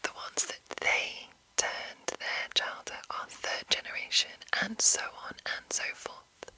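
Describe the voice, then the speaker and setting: A woman whispering an informal, rambling ASMR explainer as the host, in a breathy, unvoiced voice. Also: slow, bright, forceful tone, low-quality recording. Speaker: host of ASMR video series on roleplaying games.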